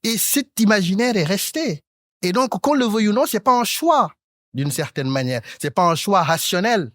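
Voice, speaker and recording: A man's voice: sits high, expressive, a bit bright, dry recording, studio quality. Articulate man in his thirties. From Senegal. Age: 30s. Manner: articulate and academic, pausing with hesitant fillers. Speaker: talk show panelist. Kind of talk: panel discussion.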